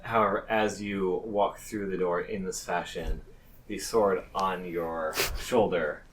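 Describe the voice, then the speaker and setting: A man acting as game master, describing scenes in an improvised tabletop game with halting, deliberate phrasing. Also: low voice, steady tone, low-quality recording.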